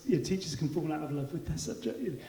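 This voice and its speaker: A man's voice: a little high, lively intonation, fast talker, gravelly, mellow. A British man on a panel speaking in an earnest, conversational way. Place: large hall. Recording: echoey hall.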